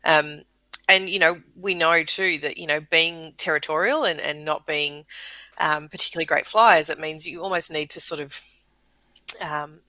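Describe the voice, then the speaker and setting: A woman's voice: low-pitched, fairly even intonation, normal speed, sharp tone, no echo. An Australian woman, a bird conservation guest on a radio show, explaining with frequent fillers and repeated words. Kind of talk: casual radio interview.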